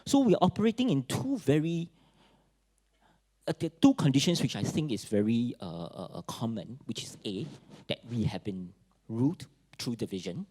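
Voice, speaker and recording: A man with a Singaporean accent giving a public talk at a forum, pausing often with fillers and restarts. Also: lowish voice, mellow, husky. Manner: halting, many fillers and restarts. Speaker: forum speaker.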